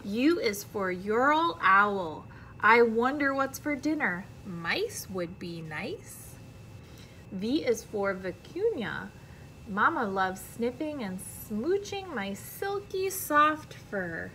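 A woman's voice: fairly high pitch, sing-song, takes it slowly, sharp tone, slight background noise, rough recording. An American woman, around her thirties, reads a children's picture book aloud like a teacher, expressively and carefully, with friendly asides to young listeners. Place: indoor classroom.

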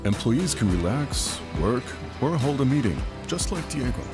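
Lively male documentary narrator over music. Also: mid-range voice. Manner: measured, informative voice-over. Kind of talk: scripted narration. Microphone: studio voice-over. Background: music bed.

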